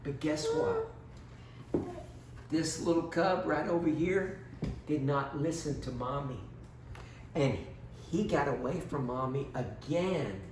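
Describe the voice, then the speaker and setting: A man giving a children's Bible lesson as storyteller, speaking simply and instructively and putting questions to small children. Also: a little high. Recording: roomy, distant mic with background noise.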